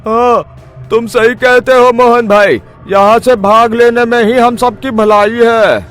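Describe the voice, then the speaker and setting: Alarmed village friend for a cartoon, played by a man: strikingly high-pitched, scolding. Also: fairly even intonation, takes it slowly, a bit bright, faint music behind, heavily acted. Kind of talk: cartoon moral-story voice acting.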